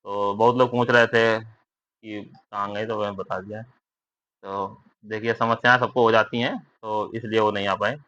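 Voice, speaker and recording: A man's voice: lowish voice, level tone, a little slow, a bit bright. A young man giving viewers an informal spoken update, conversational and often checking for agreement with tag questions. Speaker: vlogger giving recruitment updates. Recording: dull lo-fi recording.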